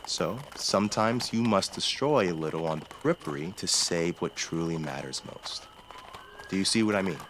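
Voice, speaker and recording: Man acting a low-voiced, courtly nobleman. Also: expressive, fairly bright tone, slight background noise. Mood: calmly persuasive. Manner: formal, deliberate, polite. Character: courtly young nobleman bridegroom, measured and calculating. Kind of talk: fantasy audio drama acting.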